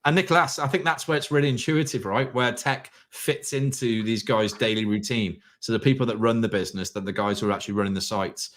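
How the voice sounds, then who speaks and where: A man hosting a webinar discussion, asking quick, leading questions. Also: mid-range voice, fairly bright tone. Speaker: webinar host.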